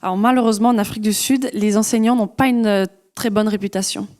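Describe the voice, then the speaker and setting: A woman's voice: mid-range voice, fast. Woman interpreting a sermon live. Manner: relaxed interpreting with fillers like euh.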